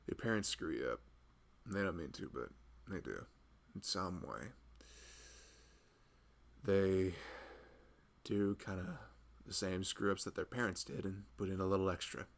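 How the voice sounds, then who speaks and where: A man's voice: low-pitched, a little slow, vocal fry, pauses often. A man playing a loving husband who rambles tenderly and a little anxiously in an intimate, conversational ASMR roleplay. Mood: reflective. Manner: rambling, hesitant, conversational, frequent "you know". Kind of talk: intimate ASMR boyfriend roleplay, improvised-sounding chat.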